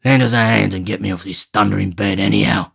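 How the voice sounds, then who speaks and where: A man's voice: on the low side, fairly even intonation, fast talker, vocal fry, a bit bright, slightly rough, exaggerated voice. A man voicing a sick, brutal male housebreaker who snarls and curses impatiently. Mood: snarling.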